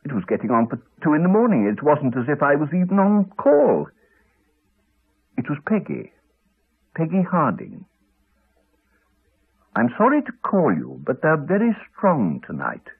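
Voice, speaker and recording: An actor playing a courteous, wry English country doctor, middle-aged, with a hesitant, chatty manner in a 1980s radio drama. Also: male voice, a little high, wildly swooping pitch, a little slow, long pauses, slightly rough, full character voice. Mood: hesitant, serious. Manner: hesitant, chatty, clipped phrases. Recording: slightly dull old radio recording.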